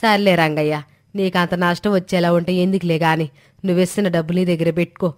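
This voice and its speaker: A woman's voice: on the low side, fairly even intonation, fast talker, natural voice. A woman playing an older, cautious village mother-in-law in a storybook drama, with homely colloquial speech. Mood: doubtful, firm. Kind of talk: dramatized Telugu moral story dialogue.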